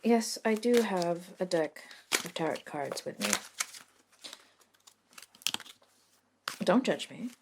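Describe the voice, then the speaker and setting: A woman playing a reluctant, sardonic modern witch in a soft-spoken ASMR roleplay, talking casually and hesitantly with dry wit and mild swearing. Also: on the low side, expressive, moderate pace, background noise. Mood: wry, teasing.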